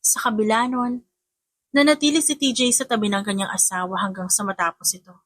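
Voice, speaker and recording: A woman's voice: mid-range voice, steady tone, fairly quick, a bit bright, no echo, studio quality. A woman with a Filipino accent reading scripted romance fiction as an audiobook narrator.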